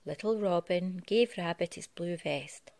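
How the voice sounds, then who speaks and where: Low-voiced woman reading a children's storybook. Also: unhurried, a little mellow. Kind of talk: read-aloud children's storytelling. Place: living room at home.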